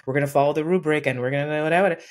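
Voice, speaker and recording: Animated woman on a video call. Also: sits low, racing. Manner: earnest, animated storytelling with acted-out quotes. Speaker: guest, workplace equity consultant. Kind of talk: conversational interview over video call. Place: home room with painting behind.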